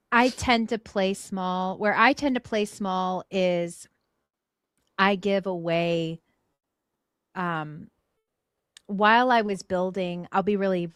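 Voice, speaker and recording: A woman in a frank podcast-style chat, speaking earnestly and reflectively at a mid pitch. She restarts her thoughts and repeats words as she works through them, and her voice sits close and dry with no room around it. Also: fairly bright tone, clear, resonant tone, no room sound. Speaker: guest. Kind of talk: candid conversation.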